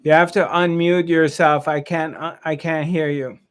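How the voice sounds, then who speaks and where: A man's voice: fairly high pitch, level tone, normal speed, clean tone. A man hosting a casual online group chat, often repeating and stammering over his words. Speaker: host, spiritual teacher. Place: online video call.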